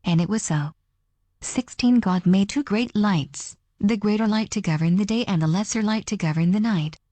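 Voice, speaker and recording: A woman reading scripture aloud in a measured, formal manner. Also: medium pitch, warm tone, no room sound. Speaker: reader.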